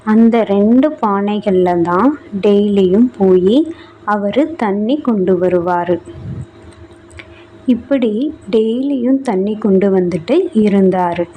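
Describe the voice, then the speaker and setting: A woman's voice: medium pitch, mellow, a little hiss or hum, poor audio. A woman narrating a bedtime story for children as a storyteller.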